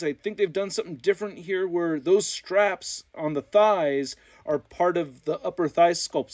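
Excitable American man, higher-pitched voice. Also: dry recording. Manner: excitable, chatty, slangy. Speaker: toy review channel host. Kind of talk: casual unscripted product commentary.

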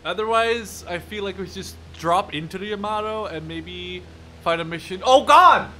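A young man with a bright, forceful, slightly high voice commentates on his own gameplay. He is excitable and casual, swears freely and talks to himself. There is clear noise behind his voice. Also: normal speed. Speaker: gaming YouTuber. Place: small room at home.

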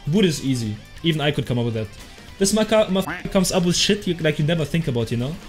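A man's voice: a little high, fast talker, faint music behind. Young German man streaming a game. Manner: excitable, swears freely, laughs at chat. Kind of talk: casual live game commentary, reading chat. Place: small room at home.

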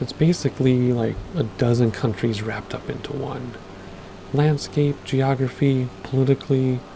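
A man, rambling casually through gameplay commentary with lots of fillers, his voice a bit hoarse from losing it. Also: mid-range voice, noisy background. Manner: rambling, chatty, with many fillers. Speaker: flight sim gaming host.

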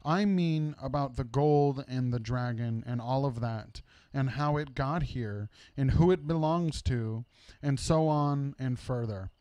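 A man's voice: medium pitch, measured pace, warm tone, smooth, no echo, natural voice. A man voicing a small, timid male hobbit in a storybook who puts on a prim, fussy businesslike manner. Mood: confused, determined.

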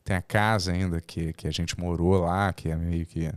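A man in his forties with a Carioca accent, a podcast guest chatting in a relaxed, rambling way and often correcting himself mid-sentence, recorded on a boom mic in a small studio. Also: moderate pace, no room sound. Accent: Carioca Brazilian Portuguese. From born in Portugal, raised in Rio de Janeiro. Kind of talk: casual chat. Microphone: boom mic and headphones.

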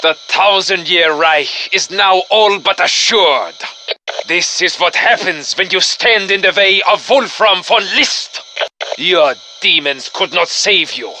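Sharp, high-voiced German Nazi villain. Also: male voice, slight background noise, full character voice, rough recording. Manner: menacing, pompous, gloating. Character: villainous Nazi officer commanding undead soldiers, male, arrogant, vengeful. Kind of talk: theatrical video game villain acting.

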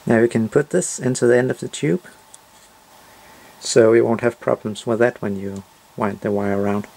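A man's voice: mid-range voice, steady tone, normal speed, creaky, darkish tone. A man narrating a step-by-step DIY tutorial in short, simple, matter-of-fact instructions.

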